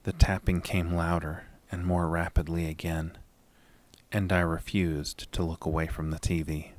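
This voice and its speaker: A man playing an anxious, haunted narrator in a first-person horror audio drama, deliberate and suspenseful. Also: low voice, flat delivery, noisy background. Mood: tense dread.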